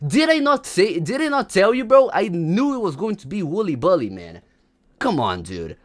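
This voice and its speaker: Man, young adult, livestream host, animated, very lively pitch. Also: moderate pace. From Brazil. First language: Portuguese. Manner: animated, slangy, chats with viewers. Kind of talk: casual livestream commentary.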